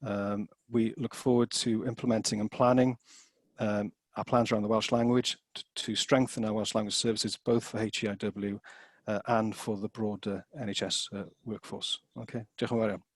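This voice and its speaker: A man with a low, level voice delivers a formal presentation over a video call. He hesitates often and restarts his phrases. The sound is dry and close. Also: level tone, normal speed, no room sound. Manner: hesitant, many fillers and restarts. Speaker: presenter at an annual meeting.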